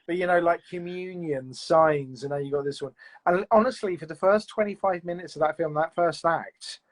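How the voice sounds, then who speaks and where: A man with a slightly raised voice talks through his craft in a loose, reflective ramble. He restarts sentences often and keeps filling gaps with "you know" as he thinks out loud. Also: on the high side, normal speed. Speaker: audio fiction producer, interview guest. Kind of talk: casual interview chat.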